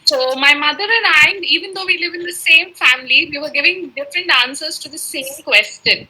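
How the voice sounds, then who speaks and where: High, bright-voiced woman moderator. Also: fairly quick, poor audio. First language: Urdu. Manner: courteous, deferential, addresses the speaker as sir. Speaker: webinar moderator. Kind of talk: polite formal moderation.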